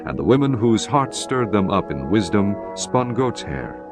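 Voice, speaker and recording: A man reading scripture as a narrator, in a measured, formal scripted style. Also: on the low side, sing-song. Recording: music bed behind the voice.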